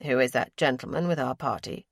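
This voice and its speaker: A woman's voice: extremely low pitch, a little fast, no room sound, voice pushed down. A woman voicing a reserved, wry young nobleman with a dry, polite manner.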